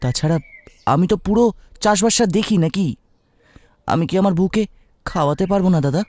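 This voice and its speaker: A man's voice: high-pitched, fairly quick, darkish tone, voice pushed up, lightly acted. A man voicing an earnest, pleading young farmer, the poor younger brother in a cartoon, with a respectful, plaintive manner. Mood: hopeful, earnest.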